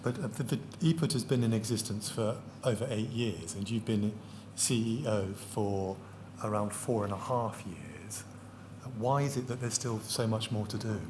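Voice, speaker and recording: A man, questioning a witness at a public inquiry in a measured, precise and courteous way. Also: fairly low pitch, background noise. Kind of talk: formal questioning. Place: large public hearing hall.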